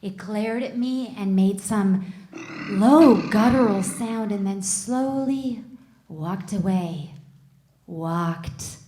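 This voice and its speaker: A woman's voice: mid-range voice, slow pace, dark tone, slight background noise, natural voice. A woman acting an uneasy cartoon woman who tells of a creepy sighting, on a stage microphone. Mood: spooked, hushed. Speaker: actor at a table read. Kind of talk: live comedy script read. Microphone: stage microphone on stand. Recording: roomy stage microphone before an audience.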